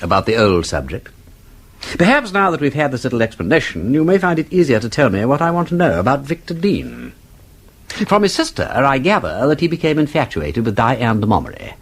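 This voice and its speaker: An actor playing a shrewd, suave gentleman detective in a radio mystery, urbane and drily polite as he probes. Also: mid-range voice, very animated, fairly quick, husky. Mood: discreetly inquiring. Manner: urbane, probing, drily polite, feigning casualness.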